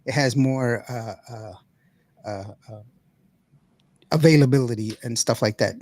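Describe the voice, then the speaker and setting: A raspy-voiced man hosting a podcast in a casual, rambling way, often repeating words as he goes. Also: mid-range voice, a little slow, darkish tone, no room sound. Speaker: podcast host. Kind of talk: casual chat.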